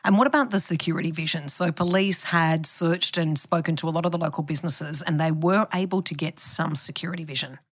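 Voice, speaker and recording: A woman's voice: deep voice, brisk, hardly any pauses. A woman podcast host narrating events and putting questions to a guest. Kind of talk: narrative interviewing.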